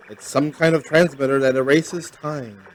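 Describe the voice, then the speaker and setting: A man's voice: fairly high pitch, fairly even intonation, normal speed, natural voice. Man acting a grave, urgent space admiral. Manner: solemn military briefing. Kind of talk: radio play acting.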